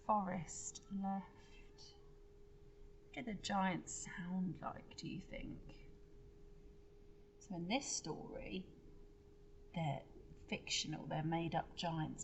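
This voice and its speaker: A woman's voice: on the low side, flat delivery, slow, long pauses, lo-fi sound, a little hiss or hum. A woman reading a storybook aloud to children like a teacher, expressively, with explanatory asides and questions to her listeners.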